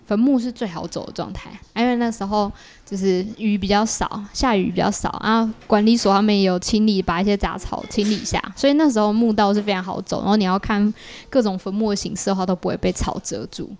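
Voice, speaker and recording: A woman's voice: medium pitch, fairly even intonation, fast talker, darkish tone. Taiwanese woman, conversational podcast guest. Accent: Taiwanese Mandarin. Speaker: podcast guest, creator of audio works. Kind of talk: casual conversational explaining.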